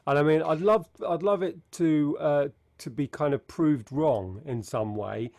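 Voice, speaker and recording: A man's voice: fairly high pitch, measured pace, a little mellow, dry recording. A man co-hosting a podcast, chatting casually, teasing his partner and musing aloud. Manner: playful, eggs on his co-host, reflective asides. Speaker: podcast co-host.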